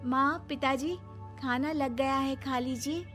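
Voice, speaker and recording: A woman's voice: high-pitched, fairly even intonation, takes it slowly, fairly bright tone, soft background music. A woman voicing a dutiful, polite young daughter-in-law in a family cartoon. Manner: polite, deferential.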